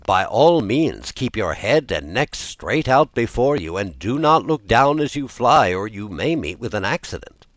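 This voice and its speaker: Storybook wild goose played by a male narrator: cautious, instructive, lively intonation. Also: fairly low pitch, a little slow, no room sound. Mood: cautioning. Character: wild geese, cautious flock elders giving firm advice.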